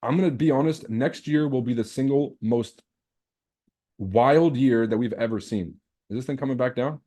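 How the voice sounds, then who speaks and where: A man's voice: medium pitch, normal speed, dark tone. A man from Lebanon, a native Arabic and French speaker, hosting a livestream in casual, slangy, boastful chat peppered with swearing. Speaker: trading livestream host. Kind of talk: casual chat with live viewers.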